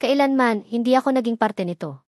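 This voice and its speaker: A betrayed young Filipino woman in an audio love story, played by a female narrator, hurt and icily bitter. The voice is fairly high, with a dry, close sound. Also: a little fast, a little mellow, no room sound, natural voice. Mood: hurt, cold. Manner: cold, emotionless.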